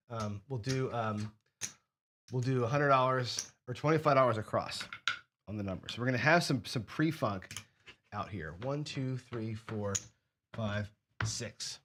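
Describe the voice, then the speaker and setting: Hoarse, sniffly man talking through bets. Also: medium pitch, a bit bright, a little hiss or hum. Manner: thinks aloud while counting chips and placing bets. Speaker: host of a craps strategy show. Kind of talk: casual play-along commentary.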